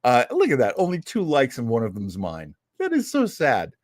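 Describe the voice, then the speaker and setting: A man hosting casually, rambling like an animated storyteller with wry asides as he introduces vintage radio shows. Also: mid-range voice, normal speed, no room sound, studio quality. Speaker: host of an old-time radio show program.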